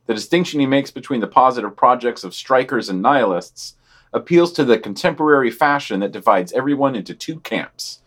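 A man reads news summaries for a podcast at a middle pitch. He comments on each item in a dry, offhand way, sometimes trailing off into a quiet aside. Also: animated, a little fast.

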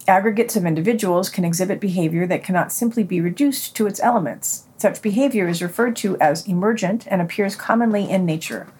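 Husky, low-voiced woman reading news. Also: a little mellow. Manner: deliberate, announces quotations and their ends. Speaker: podcast news reader. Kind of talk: scripted news reading with read-out quotations.

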